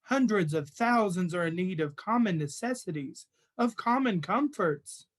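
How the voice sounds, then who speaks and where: A man playing a hesitant, polite charity gentleman. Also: sits high, animated, measured pace. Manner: courteous, hesitant. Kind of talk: radio play acting.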